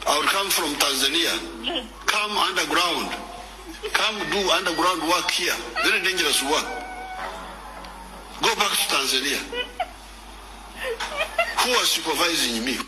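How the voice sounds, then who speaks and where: Slow-talking man over music, echoey. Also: a little high, expressive. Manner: slow, laughing, recounting.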